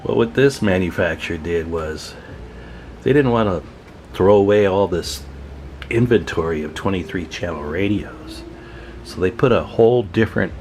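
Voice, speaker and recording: Low-voiced man chatting at a workbench. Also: darkish tone, a little hiss or hum. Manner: informal, folksy, talking to viewers while tinkering. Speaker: host of a radio repair channel. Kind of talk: casual hands-on workbench commentary. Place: at a workbench, indoors.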